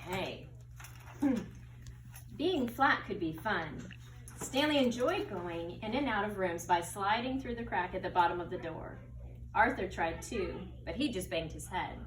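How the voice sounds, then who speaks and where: A woman's voice: medium pitch, unhurried. Woman teacher reading aloud, roomy and echoey. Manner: expressive, teacherly, explains words. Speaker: second grade teacher. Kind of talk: read-aloud of a children's story with asides to pupils. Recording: roomy echo, distant mic, faint noise.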